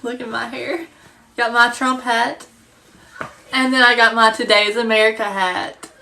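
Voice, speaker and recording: A woman's voice: fairly high pitch, little pitch movement, moderate pace, gravelly, bright, forceful tone, a little hiss or hum. A twenty-one-year-old woman from Mississippi, chatting playfully as she introduces herself. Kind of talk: casual self-introduction.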